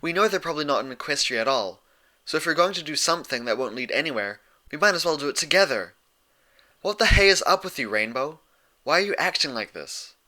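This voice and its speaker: Storybook role: caring but exasperated unicorn mare, insistent, higher-pitched and sharply bright male reader performing. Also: animated, brisk. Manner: insistent, pleading, lecturing. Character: earnest, exasperated young female unicorn pony, urgent and caring.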